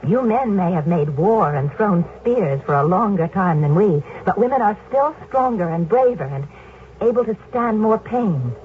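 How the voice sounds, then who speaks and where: A woman's voice: medium pitch, expressive, moderate pace, slight background noise, close to an everyday voice. An actress playing a proud, spirited blind young woman in a 1970s radio drama. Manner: proud, firm, defiant. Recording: tinny old radio broadcast.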